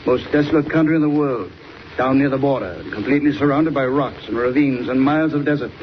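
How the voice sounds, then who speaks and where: A man's voice: medium pitch, fast, lightly acted. An actor playing an earnest middle-aged male air-transport manager in a 1940s radio drama. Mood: sober, explaining. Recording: noisy, muffled 1940s radio serial recording.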